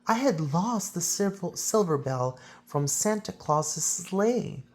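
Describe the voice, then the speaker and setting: A woman reading a children's picture book aloud as a storyteller, with theatrical phrasing and drawn-out emphasis. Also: fairly low pitch, expressive, a little mellow.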